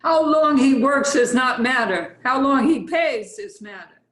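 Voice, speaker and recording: A woman's voice: sits high, animated, a little slow, a bit bright, echoey room. A woman playing a blunt, worldly artist's model with a Russian accent and broken English in a play reading. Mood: dry, blunt. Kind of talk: readers theatre play reading.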